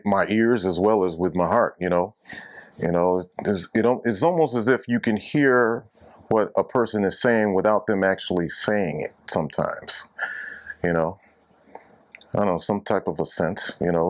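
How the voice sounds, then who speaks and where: A man speaking reflectively in an interview, searching for his words as he goes. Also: sits low. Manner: searching for words, often saying "you know". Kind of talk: reflective, unscripted interview talk.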